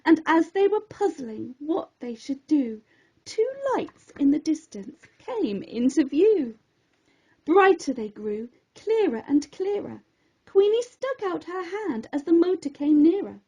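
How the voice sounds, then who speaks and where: A woman reading a rhyming bedtime story in an expressive, theatrical way, on a slightly dull recording. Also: sits high, slow pace. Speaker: storybook reader.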